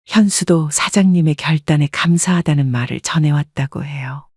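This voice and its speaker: A woman reading a scripted first-person story as an audiobook narrator, in a measured, polite storytelling manner. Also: low-pitched, a little mellow, slightly rough, dry recording, clean studio sound.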